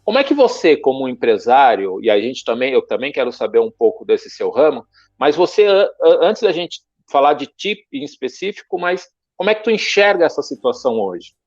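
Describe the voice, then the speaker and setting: A man hosting a casual interview show, lively, his voice jumping up when he puts his questions. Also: brisk, no room sound. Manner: lively, pitch jumps up when asking questions. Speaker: talk show host. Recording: dry, dull lo-fi sound.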